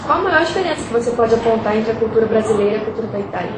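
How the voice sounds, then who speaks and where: A woman's voice: fairly high pitch, animated, very fast, noisy background. Friendly young woman asking interview questions. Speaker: interviewer, student. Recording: echoey with background ambience.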